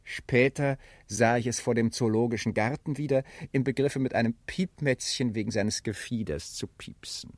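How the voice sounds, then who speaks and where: A man gives a literary reading for a radio play. His voice has a middling pitch, and it is recorded very dry, with no room sound around it. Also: moderate pace, few pauses, no echo.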